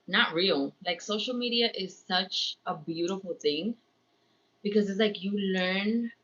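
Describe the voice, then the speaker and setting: A woman who grew up speaking Spanish first chats casually to a livestream. Her voice is bright and forward at a medium pitch. She rambles in an informal, talkative way, and the sound is a little lo-fi. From born in Ecuador, raised in New York. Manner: chatty, rambling, slips into Spanish phrases. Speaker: livestream host, crafts vlogger. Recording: slightly dull, lo-fi stream audio.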